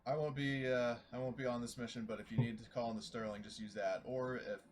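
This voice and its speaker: A man's voice: medium pitch, steady tone, quick pace. A man playing a tabletop roleplaying game, casually narrating and speaking for his character.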